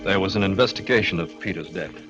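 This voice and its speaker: A man's voice: low-pitched, fast, muffled recording, close to an everyday voice. An actor in a 1940s radio drama playing a fevered, murderous man sliding into madness, with melodramatic delivery. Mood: nervous, guarded. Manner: melodramatic, swinging from hushed to frantic. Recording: old radio broadcast, organ music behind.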